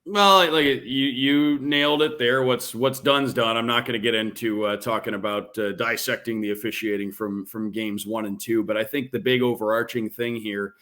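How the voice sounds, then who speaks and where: A man's voice: medium pitch, fairly even intonation, moderate pace, hardly any pauses, sharp tone. A man who works as a radio play-by-play broadcaster, talking as a podcast guest, fluent and announcer-like. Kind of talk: casual podcast interview.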